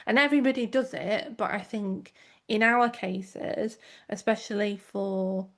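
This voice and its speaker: A woman's voice: mid-range voice, measured pace. A woman, co-hosting a casual podcast and telling stories in a reflective way, often with tag questions. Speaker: podcast co-host.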